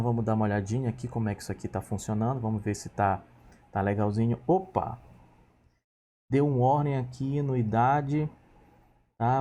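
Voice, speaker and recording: A man's voice: medium pitch, dark tone, slight background noise. A man in his thirties teaching a programming lesson, patiently walking through each step and checking in with his students. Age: 30s. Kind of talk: screen-recorded coding lesson.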